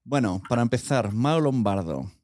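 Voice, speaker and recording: A man's voice: medium pitch, expressive, normal speed, a little mellow, dry recording, studio quality. A man with an accent from Spain, hosting a podcast in a casual, conversational style. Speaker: podcast host.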